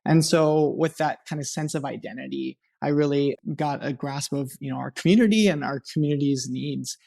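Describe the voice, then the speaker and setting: A man from Hawaii, a radiation oncologist, telling his personal story on a podcast in an earnest, unhurried way. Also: on the high side, gravelly, darkish tone.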